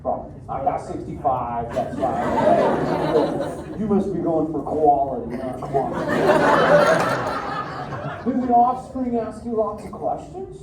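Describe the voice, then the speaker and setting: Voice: medium pitch, very animated, takes it slowly, a loud crowd. A performer voicing a laid-back surfer dude sea turtle in a live theater show, chatting playfully with the audience. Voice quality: drawling, goofy, frequent "dude". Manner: playful, chats with audience, laughs a lot. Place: indoor theater. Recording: echoey theater recorded from far with noise.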